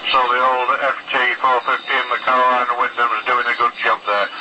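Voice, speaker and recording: A male ham radio operator with a mid-pitched voice comes through heavy shortwave noise. He talks in a halting, conversational way, using radio procedure and phonetic spelling as he goes. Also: little pitch movement, muffled recording. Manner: hesitant, spells names in phonetic alphabet. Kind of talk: ham radio contact chat. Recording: very noisy shortwave radio reception.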